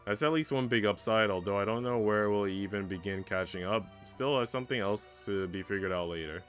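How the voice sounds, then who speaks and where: A man's voice: fairly low pitch, fairly quick, faint music behind. A man streaming a visual novel, reading its narration aloud and adding casual joking asides.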